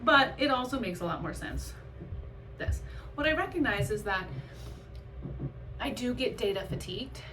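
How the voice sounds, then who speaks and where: A woman's voice: a little high, animated, normal speed, long pauses, noisy background. A woman vlogger chatting casually and candidly about her own experience, reflective and conversational with emphatic bursts. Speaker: vlogger and amateur runner. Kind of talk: casual, candid vlog chat.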